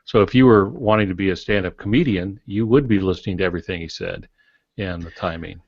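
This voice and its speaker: Low-voiced man explaining things on a podcast. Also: fast talker, no room sound. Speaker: podcast co-host. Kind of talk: casual podcast discussion. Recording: dull, dry lo-fi podcast audio.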